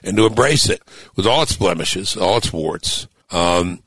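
Deep-voiced older man musing on air. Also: expressive, fairly bright tone, husky, no room sound, poor audio. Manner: thoughtful, halting restarts, builds to emphatic points. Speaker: host and author. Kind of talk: casual radio talk show conversation.

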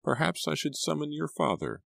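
A man's voice: a little high, sing-song, normal speed, vocal fry, a bit bright, no room sound, voice pushed up, full character voice. A firm, fussy housekeeper in a long apron, put on by a man reading a story in a raised, lifted voice. She is insistent and stern, and the recording is dry and close with no room sound. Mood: concerned.

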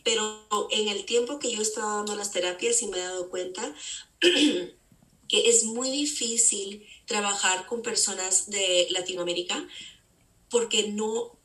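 A woman's voice: mid-range voice, unhurried, a bit bright. A woman therapist, a guest on a casual interview podcast, explaining earnestly and often restarting her sentences while she searches for words. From California. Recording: echoey room, distant mic.

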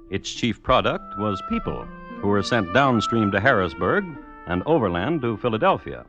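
A man hosting and narrating a scripted true crime radio show in a wry, measured, old-time manner. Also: lowish voice. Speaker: host and narrator. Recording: dull old radio recording. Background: music.

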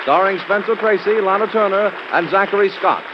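A male announcer reading closing credits in a crisp, formal 1940s radio style. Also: high-pitched, a little fast, over a noisy crowd. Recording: dull vintage radio recording with music.

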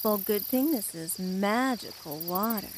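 A woman's voice: medium pitch, lively intonation, measured pace, slight background noise, close to an everyday voice. A woman playing a falsely sweet, predatory water creature, an impatient villain in a fantasy comedy audio drama. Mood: coaxing. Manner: coaxing, menacing.

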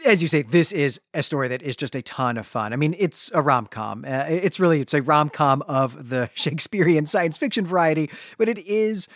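A man's voice: fairly high pitch, expressive, normal speed, few pauses, a bit bright, dry recording, studio quality. A man co-hosting a podcast, recapping a story conversationally in a wordy, digressive and wryly joking way. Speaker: podcast co-host.

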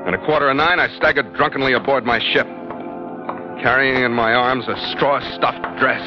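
A man's voice: medium pitch, fairly even intonation, close to an everyday voice. Man acting a hard-boiled American sea captain, muffled old radio. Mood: grim, brooding. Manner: terse, world-weary, deliberate dramatic delivery. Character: hard-boiled, brooding male sea captain narrating his adventures. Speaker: lead actor and narrator. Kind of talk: 1940s radio adventure acting, first-person narration. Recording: muffled old radio recording, loud music.

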